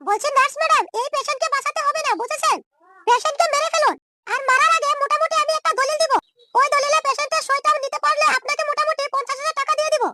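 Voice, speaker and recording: A man's voice: very high voice, steady tone, racing, sharp tone, no room sound, clean studio sound, heavily acted. A man voicing a shameless, quarrelsome, scheming young woman in an amateur comedy cartoon, ranting and taunting through a chipmunk-like voice effect. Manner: scolding, ranting in short repeated taunts.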